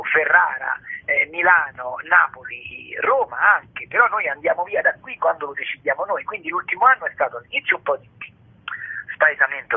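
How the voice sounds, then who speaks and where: Middle-aged or older man, combative ironic interviewee, raspy voice over a narrow telephone connection. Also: a little high. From Italy. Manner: polemical, ironic, emphatic. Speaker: theatre artist being interviewed. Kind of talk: radio interview. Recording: narrow tinny phone line.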